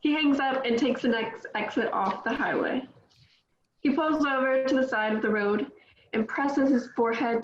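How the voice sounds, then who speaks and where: Higher-voiced woman reading stage directions. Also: roomy sound, muffled recording, a little hiss or hum. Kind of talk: table read narration.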